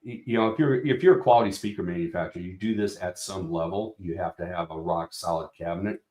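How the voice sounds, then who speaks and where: A man's voice: deep voice, fast, darkish tone, reverberant. A man representing a product company, explaining speaker design in a casual technical walkthrough with many fillers and restarts.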